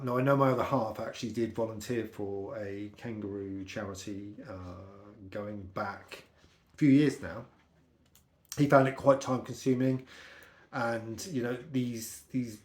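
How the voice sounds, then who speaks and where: A middle-aged British man with a slightly croaky voice, chatting casually and telling personal anecdotes in a rambling, conversational way. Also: on the low side, darkish tone, reverberant. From United Kingdom. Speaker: vlogger.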